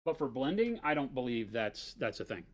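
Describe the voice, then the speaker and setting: A man's voice: medium pitch, sing-song, a little fast. A man explaining model painting techniques in casual livestream talk, often checking in with "right?". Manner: explaining, fillers and restarts, says "right?".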